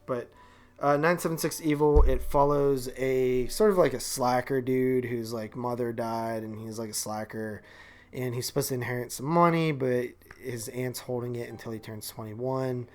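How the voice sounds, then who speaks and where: A man's voice: mid-range voice, steady tone, moderate pace. A man co-hosting a podcast, reviewing movies in a casual, rambling, slangy way. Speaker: podcast co-host.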